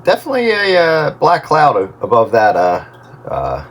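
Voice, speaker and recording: Man with swinging intonation over loud noise. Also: on the high side, huge pitch swings, moderate pace. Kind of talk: casual chat. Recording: radio audio with very loud noise.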